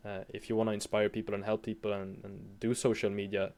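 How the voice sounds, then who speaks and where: Soft-spoken Swedish man, halting. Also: lowish voice, completely flat delivery. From Sweden. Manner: hesitant, halting with frequent restarts. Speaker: guest. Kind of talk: casual interview chat.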